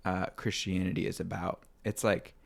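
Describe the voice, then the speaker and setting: A man in his twenties, a podcast guest, talking earnestly and self-deprecatingly with halting fillers. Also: deep voice, level tone, creaky. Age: 20s. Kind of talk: casual chat.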